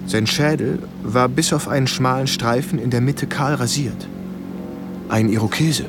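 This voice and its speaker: A male actor plays a serious young-officer type, a lieutenant in a war radio drama. He speaks in a measured, earnest way at a middle pitch. Music plays clearly behind him. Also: lightly acted. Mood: sober, recollecting. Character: sober, bewildered army lieutenant and writer recalling the war. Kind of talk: radio play narration and dialogue.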